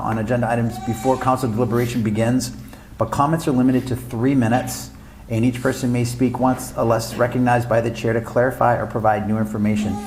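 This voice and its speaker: A man's voice: mid-range voice, a little mellow, a little hiss or hum. A man chairing a town council meeting, speaking in an earnest, informal way and often repeating himself. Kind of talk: public meeting remarks.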